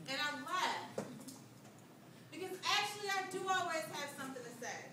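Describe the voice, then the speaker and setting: A woman performing a spoken word poem live on a club stage, rhythmic and building from hushed lines to loud declamation. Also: high-pitched, background noise. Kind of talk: slam poetry performance. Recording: echoey club recorded from far off.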